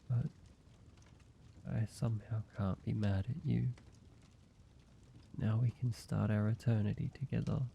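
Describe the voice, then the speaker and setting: A tender, earnest vampire lover played by a man speaks intimately to the listener in an audio roleplay. The voice is lowish and gravelly, with halting, reassuring phrases. The recording is slightly dull. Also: heavily acted. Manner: halting, hushed pleading, soft reassurance with pauses. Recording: dull sound, faint background noise.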